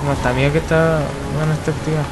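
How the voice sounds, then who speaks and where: A man's voice: a little high, little pitch movement, racing, very noisy. A man with a Chilean accent chatting casually with friends while playing a game. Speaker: gamer. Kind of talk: casual gaming chat with friends. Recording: loud game sound behind voice. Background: zombie shooter game music and effects.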